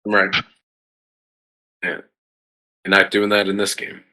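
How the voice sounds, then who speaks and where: A man's voice: low voice, completely flat delivery, slow, sharp tone, rough recording. A man chatting casually as a panelist on a tabletop game podcast, his talk peppered with "like" and "you know". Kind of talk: casual chat.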